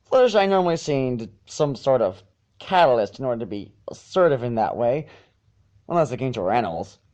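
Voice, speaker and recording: Mellow, expressive male story reader. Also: mid-range voice, a little fast, muffled recording. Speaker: fan fiction reader. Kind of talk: storybook narration with stumbles.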